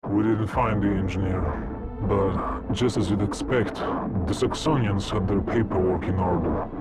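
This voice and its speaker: An actor playing a brooding, driven war veteran in a video game, grave and determined. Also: male voice, on the low side, unhurried, loud music behind the voice, natural voice. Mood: measured, grim. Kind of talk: video game cutscene acting.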